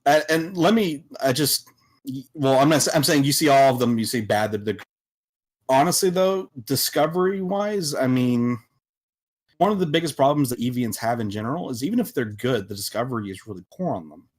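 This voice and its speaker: Halting, emphatic man in podcast discussion. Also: mid-range voice, expressive. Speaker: podcast panelist and visual novel developer.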